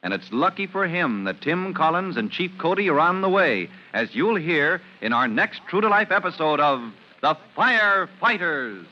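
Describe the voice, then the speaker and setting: Bright, animated male radio announcer. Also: fairly high pitch, normal speed, slight background noise. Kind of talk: scripted 1940s-style radio serial narration. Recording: muffled old radio recording.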